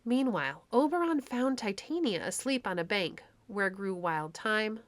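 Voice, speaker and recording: A woman's voice: medium pitch, expressive, measured pace, no room sound. A woman narrating a classic children's story in a scripted read-aloud style.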